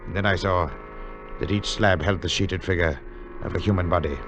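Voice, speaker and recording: An actor playing a sober gentleman adventurer, speaking with dramatic, deliberate phrasing in 1940s radio style. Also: sits low, background noise. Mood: awed, ominous. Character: sober English gentleman adventurer recounting his strange journey. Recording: vintage radio broadcast with music behind.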